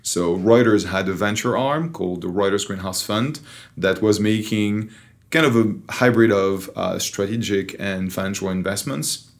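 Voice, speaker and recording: A middle-aged man from France with a French accent, telling his story as a guest in a relaxed, dry way. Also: on the low side, normal speed. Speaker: interview guest, venture investor. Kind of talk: storytelling in an interview.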